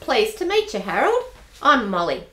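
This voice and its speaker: A brisk, bossy spinster clerk in her forties, played by a woman in a homemade radio drama. She talks in a matter-of-fact way with a lively, fairly high voice. The room around her sounds echoey. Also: heavily acted. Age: 40s. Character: bold forty-something spinster bank clerk. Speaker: radio play actor. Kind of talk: amateur radio play acting.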